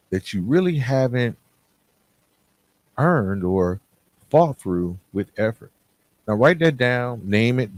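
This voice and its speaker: A man's voice: lowish voice, darkish tone. A man hosting a motivational podcast, talking in an earnest, direct and conversational way with emphatic repetition. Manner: conversational, colloquial. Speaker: podcast host.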